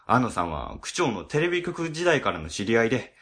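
Man acting an animated, easily flustered office boss. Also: medium pitch, fairly quick. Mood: casual, grumbling. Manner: chatty, easily flustered, ingratiating. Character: flustered, two-faced middle-aged male civil servant boss. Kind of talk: comic web radio drama acting.

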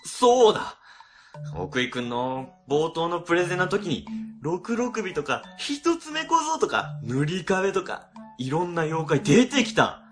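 A man's voice: fairly high pitch, very animated, a little slow, faint music behind. A man playing a weary, exasperated middle-aged office boss in a radio comedy drama. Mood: flustered, accusing.

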